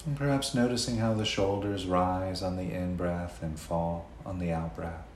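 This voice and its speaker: A man leading a guided meditation in a gentle, unhurried way, leaving long pauses between phrases. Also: sits low, fairly even intonation. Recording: roomy echo, faint background noise.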